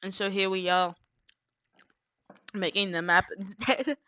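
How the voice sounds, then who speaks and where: A woman's voice: medium pitch, measured pace, bright, forceful tone, smooth. A woman livestreamer chatting casually and rambling, half muttering to herself, close to a streaming mic. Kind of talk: casual livestream chat while drawing.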